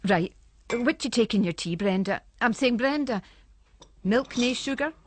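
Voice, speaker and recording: A woman's voice: a little high, expressive, unhurried. A woman playing a chatty, anxious housewife in a radio drama, rambling on in homely broad Scottish dialect. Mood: nervous chatter.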